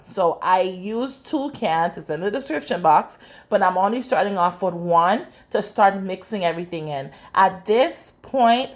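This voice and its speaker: Chatty Bahamian woman cooking. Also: medium pitch. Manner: chatty, instructive, addresses viewers directly. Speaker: cooking channel host. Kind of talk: cooking tutorial. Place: home kitchen.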